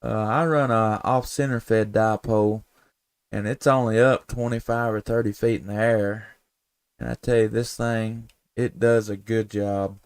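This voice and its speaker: An American man chatting casually as an amateur radio host, in a folksy, easygoing way with thinking pauses. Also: on the low side, steady tone, clear, resonant tone, no room sound. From United States. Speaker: amateur radio operator, live stream host. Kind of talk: casual radio contact chat.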